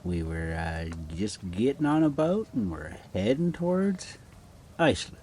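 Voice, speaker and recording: Slow, low-voiced man reading aloud. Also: very animated, darkish tone. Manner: halting, stumbles over foreign names. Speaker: host reading a story aloud. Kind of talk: casual storybook read-aloud. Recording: noticeable background noise.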